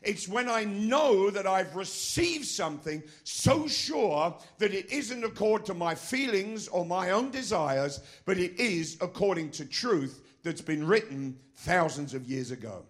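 A man's voice: high-pitched, animated, unhurried, hardly any pauses. A middle-aged man preaching a sermon as pastor of his church, with a non-American accent, emphatic and asking the congregation questions. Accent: foreign, not American.

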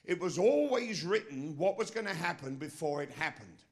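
A man's voice: on the high side, lively intonation, moderate pace. A middle-aged man preaching a sermon as pastor of his church, with a non-American accent, emphatic and asking the congregation questions. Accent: foreign, not American.

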